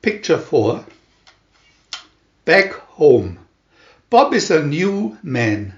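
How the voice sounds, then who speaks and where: A man reading a scripted picture storybook aloud in short, deliberate phrases with pauses between them. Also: on the high side, sing-song, echoey room, muffled recording. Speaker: reader of picture-story booklets.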